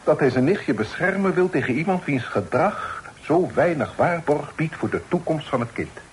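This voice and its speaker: A man's voice: fairly high pitch, lively intonation, normal speed, close to an everyday voice. A man playing a stern, suspicious father and magistrate in a Dutch radio drama, speaking in a formal, reproachful way. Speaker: radio play actor. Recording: faintly noisy old radio play recording.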